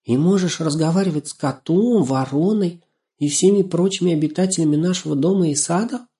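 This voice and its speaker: A man's voice: a little high, a little fast, warm tone, voice pushed up, natural voice. A man voicing an affectionate, curious, easily frightened young girl with a ringing, tender voice in a storybook. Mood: glad, curious. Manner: exclaiming, full of questions.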